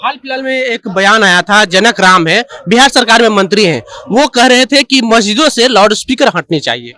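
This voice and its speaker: A man's voice: high voice, animated, moderate pace, bright, forceful tone. A man working as a reporter, putting questions to people in a vox pop interview.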